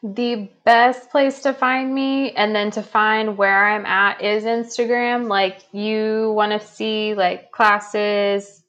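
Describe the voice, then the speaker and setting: A woman with a slightly raspy voice, a podcast guest speaking bluntly and casually with lots of "like.". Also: medium pitch, flat delivery, slow, hardly any pauses, fairly bright tone. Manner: blunt, fervent, peppered with "like". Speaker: podcast guest, human design teacher. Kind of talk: casual conversation.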